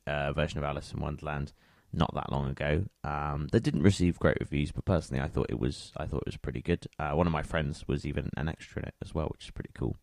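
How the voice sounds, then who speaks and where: A man with a gravelly voice, casually presenting a music show as its host. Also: a bass voice, a little mellow, dry recording, clean studio sound. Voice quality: gravelly, creaky.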